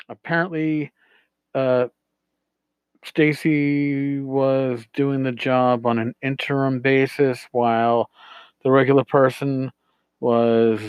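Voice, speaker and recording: Man hosting podcast, halting casual delivery. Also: mid-range voice, smooth, no room sound, dull audio. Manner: halting, searching, repeats words. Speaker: podcast host. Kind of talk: casual talk answering listener voicemails.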